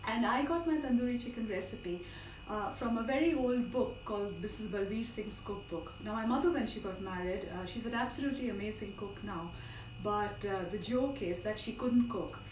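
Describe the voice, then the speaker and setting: A woman's voice: mid-range voice, moderate pace. Roomy, distant woman with a strained voice. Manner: chatty, digressive instruction with asides. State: partly lost voice. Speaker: cook hosting a live cooking class. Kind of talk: informal live cooking demonstration. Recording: roomy, distant mic with background noise.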